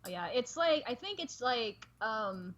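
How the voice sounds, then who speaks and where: A woman in her early twenties chatting casually as a guest on a livestream, with a husky voice. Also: on the high side, sing-song, a little slow, a bit bright. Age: early 20s. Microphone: online voice call. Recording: dull lo-fi voice-chat audio.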